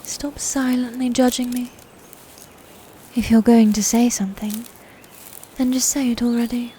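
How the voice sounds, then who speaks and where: A proud, possessive female knight played by a woman speaks slowly and scornfully in a medieval ASMR roleplay. Her voice is soft-toned, breathy and slightly high, and her wording is formal and commanding. Faint noise sits behind her. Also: little pitch movement, lightly acted. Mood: irritated, bossy. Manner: formal archaic diction, commanding, contemptuous. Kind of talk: dominant ASMR fantasy roleplay.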